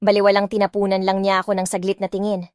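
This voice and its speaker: A woman's voice: medium pitch, completely flat delivery, fast, a little mellow, no room sound, clean studio sound. A woman from the Philippines reading a first-person romance audiobook, dramatic and indignant, switching between Tagalog and English. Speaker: audiobook narrator.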